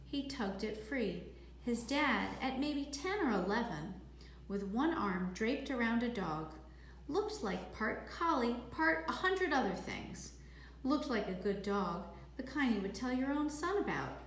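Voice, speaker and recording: A woman's voice: on the high side, lively intonation, a little slow. Woman reading a story aloud, echoey room. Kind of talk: expressive storybook narration. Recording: echoey room, faint background noise.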